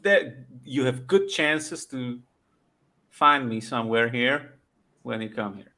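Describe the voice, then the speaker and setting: A man speaks English slowly and haltingly as a livestream guest. His voice is bright and a little tense. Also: mid-range voice, expressive. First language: Slovak. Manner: halting, searching for words. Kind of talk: casual livestream chat.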